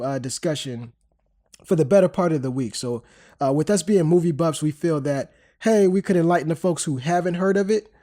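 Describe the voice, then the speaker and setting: A man's voice: fairly high pitch, dark tone. A man in his thirties hosting a podcast, stuffy from a cold, chatting loosely with many fillers and restarts. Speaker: podcast host. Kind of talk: casual podcast chat.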